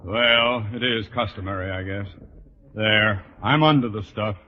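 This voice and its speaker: A man's voice: lowish voice, measured pace, close to an everyday voice. A man acting a grouchy, pompous middle-aged school principal with an American accent in an old-time radio sitcom. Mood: grudging, flustered. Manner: grumpy, blustering, pompous. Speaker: actor. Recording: muffled, very noisy vintage radio recording.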